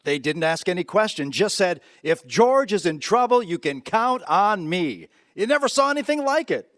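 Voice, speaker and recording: An actor playing an excited, big-hearted uncle bursting with good news in a live radio play. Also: male voice, high voice, moderate pace, no room sound, studio quality. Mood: overjoyed.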